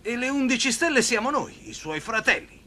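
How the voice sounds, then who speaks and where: A man voicing an envious, spiteful young brother in an animated film dub. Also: extremely high pitch, moderate pace, full character voice.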